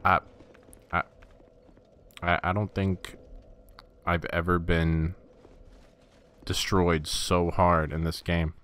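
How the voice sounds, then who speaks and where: Deep-voiced man doing wry game commentary. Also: flat delivery, takes it slowly, pauses often, a bit bright, clear, resonant tone. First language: not Russian. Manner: wry, self-deprecating, stammers when flustered. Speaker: gaming commentator. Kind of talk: casual gameplay commentary.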